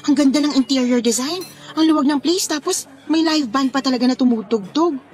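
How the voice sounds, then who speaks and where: A woman's voice: sits high, a little fast, a little mellow, close to an everyday voice. An actress playing a sweet, accommodating young Filipino woman, a shy girlfriend, in a radio drama. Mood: nervous, impressed.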